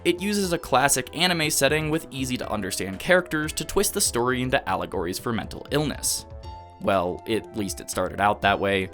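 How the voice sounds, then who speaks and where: A man delivering scripted, analytical video essay narration in a measured, emphatic, rhetorical way. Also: mid-range voice, animated, fairly bright tone. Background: background music.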